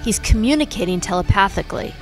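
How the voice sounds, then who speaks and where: A man acts out a calm, advising teammate in an audio story. The voice is lifted well above his narration, explanatory and reassuring, with faint music behind. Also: high voice, fast talker, voice pushed up. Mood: calm explaining. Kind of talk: acted character in narrated fan story.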